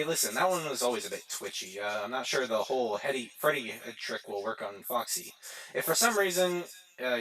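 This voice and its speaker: A man playing a chatty, evasive pizzeria employee leaving halting, casual phone messages in a game. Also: mid-range voice, a bit bright, close to an everyday voice, rough recording. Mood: casual, rambling. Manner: hesitant, rambling, nonchalant. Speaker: night-shift phone guide. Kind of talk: voicemail-style game monologue, casual and halting.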